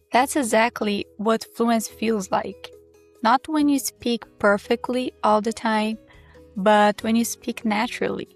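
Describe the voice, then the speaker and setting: A Brazilian woman, a native Portuguese speaker with a Brazilian accent, hosting her English-learning channel and talking in a calm, measured, candid way. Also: medium pitch, creaky. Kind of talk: reflective teaching monologue to camera. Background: soft background music.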